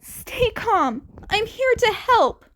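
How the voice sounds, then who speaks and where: An earnest teenage boy rescuer, performed by a woman, determined yet modest. His voice is pitched very high, and he talks in short, plain phrases, as in an audiobook adventure. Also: sing-song, takes it slowly, fairly bright tone, exaggerated voice. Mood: earnest, reassuring.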